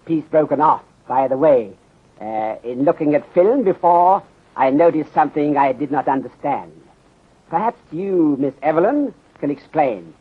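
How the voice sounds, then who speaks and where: A thin, band-limited old radio drama recording carries a shrewd, courteous detective. The voice is husky and a little high. He speaks in measured, formal phrases that drop small words. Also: lively intonation. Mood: intent. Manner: measured, formal, clipped phrasing without articles. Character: courteous, shrewd Chinese detective inspector with aphorisms. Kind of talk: 1930s radio acting. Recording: muffled phone-like 1930s radio recording.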